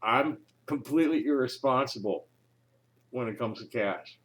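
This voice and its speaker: A man making a casual phone call, talking informally in a rambling, halting way. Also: mid-range voice, fairly bright tone. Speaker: caller.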